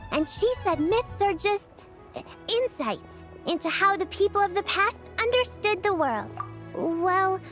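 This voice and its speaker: A woman's voice: extremely high pitch, fairly bright tone, exaggerated voice. A woman voicing a cheerful, caring young girl in a video game, patiently explaining things in a singsong, reassuring way. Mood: earnest, explaining. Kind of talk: video game character dialogue.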